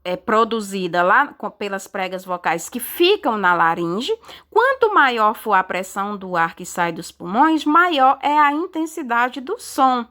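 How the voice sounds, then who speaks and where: A woman's voice: a little high, very animated, sharp tone. A woman teaching a science lesson, explaining step by step and often checking in with her students. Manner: explains slowly with frequent checking tags and hesitations. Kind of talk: recorded online class lesson.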